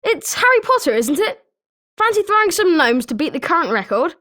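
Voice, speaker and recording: High-pitched, eager British schoolboy played by a woman. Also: very animated, fairly quick, bright, forceful tone, exaggerated voice. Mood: encouraging. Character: eager, sporting schoolboy wizard, friendly and competitive. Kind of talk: scripted video game character lines.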